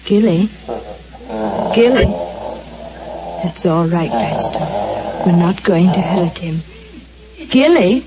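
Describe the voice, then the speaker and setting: A woman's voice: lowish voice, big pitch swings, slow, long pauses, full character voice. An actress playing an anxious, tender young woman in a 1940s radio play. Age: young adult. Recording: muffled old radio broadcast with hiss.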